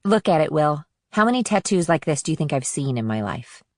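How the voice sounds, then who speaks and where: A woman's voice: sits low, big pitch swings, brisk, a little mellow, dry recording, studio quality. A woman voicing a blunt, inquisitive fourteen-year-old girl in short, probing audiobook dialogue. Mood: shaken.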